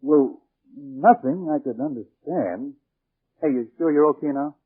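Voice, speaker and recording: Easygoing, very raspy pilot. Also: male voice, a little high, unhurried. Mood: concerned, easygoing. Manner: colloquial, easygoing. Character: casual, solicitous pilot watching over his friend. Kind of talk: 1940s radio drama acting. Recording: tinny band-limited 1940s radio recording.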